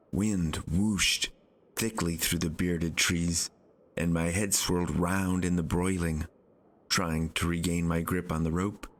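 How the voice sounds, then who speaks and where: Slow, low-pitched man reading literary narration. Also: a bit bright. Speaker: author reading own audiobook.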